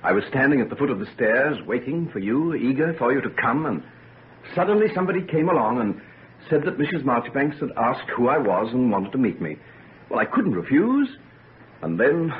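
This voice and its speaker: An actor playing an earnest, pleading young gentleman in love, in an old-time radio drama. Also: medium pitch, close to an everyday voice. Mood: remorseful, pleading. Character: ardent young gentleman, remorseful yet sure of his beloved. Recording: noisy, narrow-band vintage radio recording.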